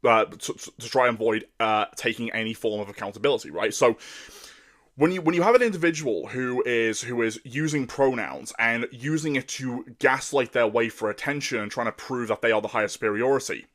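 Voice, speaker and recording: Angry ranting man, deep voice, stumbling. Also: fairly quick, fairly bright tone. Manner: angry and profane, with many repeated stumbles and restarts. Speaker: commentator. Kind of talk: ranting monologue.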